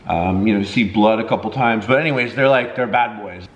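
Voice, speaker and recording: A man's voice: fairly low pitch, brisk, reverberant, highs cut off. A man chatting casually to his viewers as a vlogger, with a jokey, slangy, profane way of talking.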